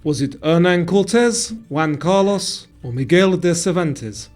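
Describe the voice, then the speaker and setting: A man's voice: a little high, a little slow, darkish tone. A man reading scripted quiz narration, posing multiple-choice questions and then giving the answers. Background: guitar music.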